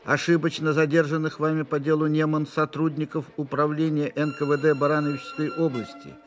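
An actor playing an urgent military announcer reading out a coded telegram in a radio drama. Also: male voice, a little high, flat delivery, a little fast, faint music behind, natural voice. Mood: urgent, official.

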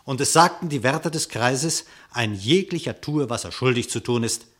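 An actor playing a storyteller, narrating a radio-play animal fable in verse with theatrical, vivid declamation and a husky voice. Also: male voice, mid-range voice, animated, normal speed, fairly bright tone. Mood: dramatic, vivid.

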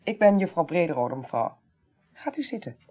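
Brisk, expressive female clerk. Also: on the low side. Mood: formal, inquiring. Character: composed, courteous female court clerk investigating a death. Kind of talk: naturalistic Dutch radio drama acting.

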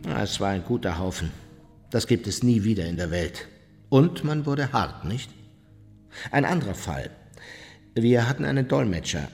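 A man playing an unrepentant Nazi war criminal in a radio play, recounting his deeds in a blunt, self-justifying way. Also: sits low. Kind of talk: German radio play, interview testimony. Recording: long reverberant hall.

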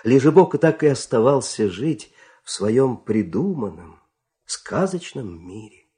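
A man narrating a fairy-tale audio play in a theatrical, expressive way, with dramatic pauses. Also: medium pitch. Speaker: storyteller, narrator.

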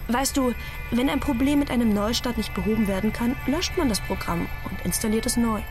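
A woman's voice: on the high side, brisk, over music, natural voice. An actress in a radio drama playing a rational, guilt-ridden woman scientist in a reflective monologue. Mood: reflective, troubled.

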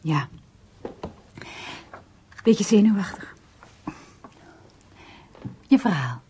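Mellow, slow, quietly curious woman. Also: mid-range voice, expressive, a little hiss or hum. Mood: intimate, curious. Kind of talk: naturalistic Dutch radio drama acting.

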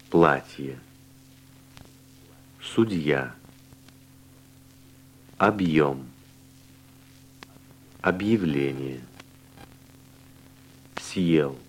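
A man reading language-course dialogues and word drills with slow, deliberate, carefully enunciated speech. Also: extremely low pitch, lively intonation, poor audio. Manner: clear, deliberate articulation in short answers.

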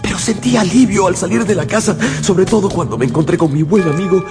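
An actor playing a haunted, feverish scientist in a radio drama, confessing in an overwrought, halting, theatrical way. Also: male voice, sits high. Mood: horrified, anguished. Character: haunted, feverish male scientist confessing his monstrous creation. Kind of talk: Spanish-language radio drama acting. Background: dramatic music.

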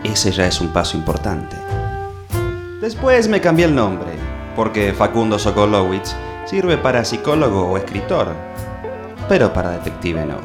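An actor playing a naive, self-important amateur detective in a comic radio play, deadpan and theatrical, with an Argentine accent. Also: male voice, on the low side, moderate pace, over music. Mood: wistful, self-important.

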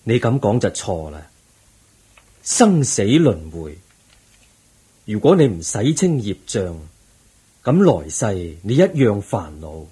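An actor playing a calm, compassionate Buddha in a Cantonese radio drama, patient and solemn, with a Hong Kong accent. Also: male voice, fairly low pitch, slow, long pauses, slightly rough, exaggerated voice. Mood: patient, reassuring.

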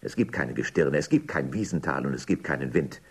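An actor playing a stern, officious interrogator in a dystopian radio drama, pointed and accusing. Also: male voice, a bass voice, lively intonation, a little fast, slightly rough, heavily acted. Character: stern bureaucratic male interrogator in a dystopian society. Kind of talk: German radio drama acting.

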